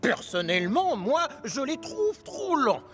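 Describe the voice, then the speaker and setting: A man's voice: very high-pitched, big pitch swings, a little slow, heavily acted. A man voicing a proud, stubborn, grumpy big express locomotive in a French-language children's cartoon, in a pompous, boastful manner. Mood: haughty, impatient. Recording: music behind the voice.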